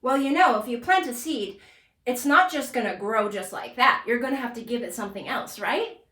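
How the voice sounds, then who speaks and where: A woman's voice: on the high side, animated, normal speed, bright, forceful tone, reverberant. A woman hosting a children's puppet show, telling an interactive story in a playful, coaxing, sing-song way.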